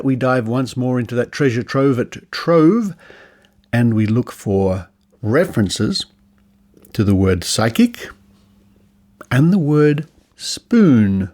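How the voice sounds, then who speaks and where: A man's voice: medium pitch, a little mellow. An Australian man hosting a podcast, introducing segments and interviewing in a chatty, informal way. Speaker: podcast host.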